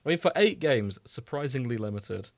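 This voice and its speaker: A man's voice: mid-range voice, lively intonation, moderate pace, no room sound. A man co-hosting a podcast in casual banter, chatting informally and swearing now and then. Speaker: podcast co-host.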